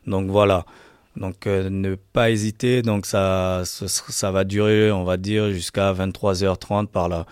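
Low, clear-voiced male radio guest. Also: flat delivery, a little slow, a little mellow, dry recording, clean studio sound. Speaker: radio guest announcing a dance event. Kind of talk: casual on-air chat.

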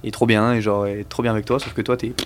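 A man's voice: lowish voice, little pitch movement, fast. A young man being interviewed about his music, talking casually in slang with many fillers and restarts. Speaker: musician guest.

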